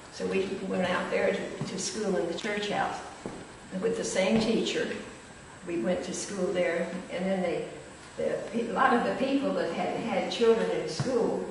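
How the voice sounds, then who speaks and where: A woman's voice: mid-range voice, creaky. An older woman, reminiscing casually about her memories. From rural Missouri, United States. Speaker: former pupil and local historian sharing memories. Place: large echoing indoor room, recorded from a distance. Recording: very echoey hall, distant mic, noisy.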